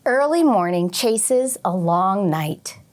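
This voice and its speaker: Female teacher, very unhurried delivery, mid-range pitch, expressive children's read-aloud addressed straight to young listeners. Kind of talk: children's picture book read-aloud with short teaching asides.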